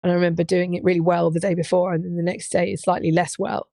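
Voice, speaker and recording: A young woman with a slightly hoarse voice, co-hosting a casual live podcast, chatty and giggly. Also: lowish voice, flat delivery, fast talker, warm tone, dry recording. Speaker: podcast co-host, touring musician.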